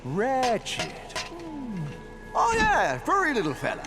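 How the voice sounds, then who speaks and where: An actor playing an eccentric, cheerful old plumber in a video game, rambling along in folksy colloquial talk. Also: male voice, very high-pitched, wildly swooping pitch, slow pace, loud music behind the voice, full character voice. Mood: cheerful, puzzled. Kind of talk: video game cutscene acting.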